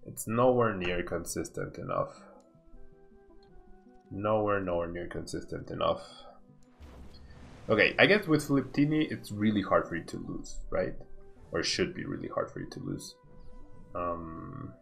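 A man's voice: low-pitched, very animated, unhurried, vocal fry, long pauses, a bit bright, faint music behind. A man of thirty-one doing casual, slangy livestream game commentary, laid-back and often repeating his phrases. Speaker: game streamer.

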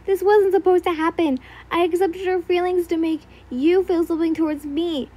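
A woman's voice: extremely high pitch, steady tone, moderate pace, warm tone, pitched above the performer's own voice. A woman voicing a desperate, obsessive young man who cries and pleads frantically. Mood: frantic muttering.

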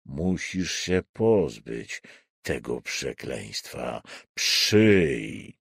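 Audiobook thriller villain, male narrator acting: self-styled saviour and torturer, deep, hushed, unhurried, soothing menace, dry sound. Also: big pitch swings, creaky, husky, no echo, full character voice. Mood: commanding, slow.

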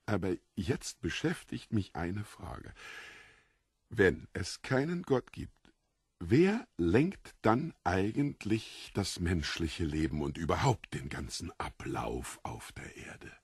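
Devilish foreign stranger: courtly, mocking, very husky, swooping delivery for audio drama. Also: male voice, low voice, sing-song, takes it slowly, no echo, clean studio sound, exaggerated voice. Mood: amused, probing. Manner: theatrical, effusively polite, mocking.

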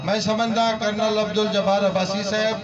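A man's voice: high-pitched, flat delivery, a little fast, dull audio. A man giving a political speech at a convention, speaking from a podium. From Pakistan. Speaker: party official giving a speech. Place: crowded tented convention stage, podium microphones. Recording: distant microphone, very noisy event.

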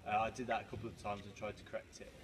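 A man's voice: fairly low pitch, flat delivery, very fast. A young man assessing his own bowling, hesitant with many fillers, recorded in an indoor sports hall. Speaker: student doing self-assessment. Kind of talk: self-assessment. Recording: distant camera audio, clear hall noise.

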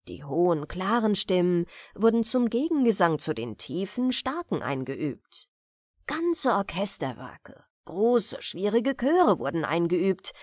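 A woman narrating a fairy tale as an audiobook storyteller, deliberate and expressive, with dramatic pauses. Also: mid-range voice, slightly rough, no echo, studio quality. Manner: deliberate, dramatic pauses between short phrases.

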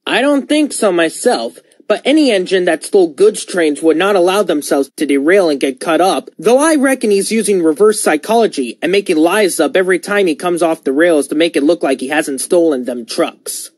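A man voicing a chatty, scheming steam engine who insinuates things in an animated game. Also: high voice, moderate pace, few pauses, no room sound. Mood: sly, gossipy. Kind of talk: fan animated game cutscene acting.

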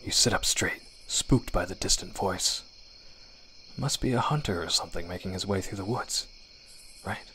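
A man's voice: sits low, normal speed, sharp tone, slight background noise. A man narrating a scripted horror story in a dramatic, suspenseful radio drama manner. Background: music.

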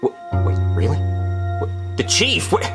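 Casting: male performer as hapless puppet-comedy policeman, stammering, pleading, widely swinging pitch, faint music behind. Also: wildly swooping pitch, takes it slowly. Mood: flustered. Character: excitable, hapless, pleading male cop, easily flustered.

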